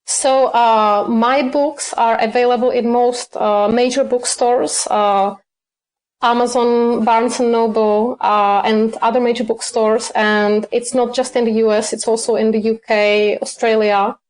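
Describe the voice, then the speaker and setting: Woman, higher voice, hesitant. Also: little pitch movement, unhurried, a little mellow. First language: Czech. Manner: hesitant, many fillers and self-corrections. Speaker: cookbook author, guest. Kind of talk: interview answers.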